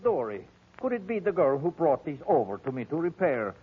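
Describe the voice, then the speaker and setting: An actor playing a doddering, excitable old man in an old-time radio drama. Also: fairly high pitch, brisk, husky. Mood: puzzled. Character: excitable, doddering old man who repairs ship models. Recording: tinny, faintly noisy old radio recording.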